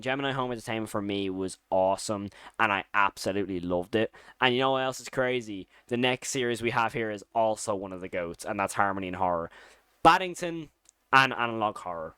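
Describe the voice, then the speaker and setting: A man's voice: on the low side, a bit bright, smooth, no room sound. A man giving casual, opinionated commentary as a video host, talking in an informal, slangy and enthusiastic way. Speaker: YouTube host ranking horror series.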